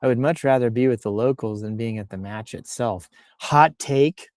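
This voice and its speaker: A man's voice: mid-range voice, moderate pace, darkish tone, no echo, clean studio sound. A man hosting in a casual, improvised comic style, playfully reading posts aloud and rambling off into asides. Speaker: host reading and riffing on social media posts.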